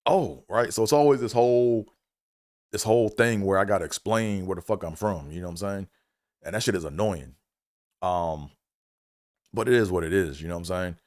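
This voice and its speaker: A middle-aged man from Maryland with a very deep voice holds a casual solo livestream chat. He rambles loosely and leans on fillers and "you know what I'm saying?" The sound is dry and close, with no room around it. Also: moderate pace, long pauses, a little mellow, no echo. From Maryland, USA. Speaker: comedian and engineer hosting a livestream.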